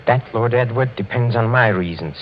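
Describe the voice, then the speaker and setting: An actor playing a suave, sinister older European count in 1940s radio style, courtly and insinuating, halting and chuckling softly. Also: male voice, mid-range voice, a little hiss or hum, natural voice. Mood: guarded, measured. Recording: muffled old 1940s radio recording, faint music.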